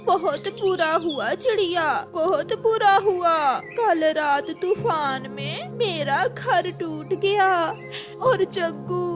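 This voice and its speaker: A woman's voice: very high voice, lively intonation, takes it slowly, full character voice. A woman voicing a bereaved mother crow in a cartoon, distraught and blunt in her lamenting. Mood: wailing grief. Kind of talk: cartoon storybook dialogue. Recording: music behind the voice.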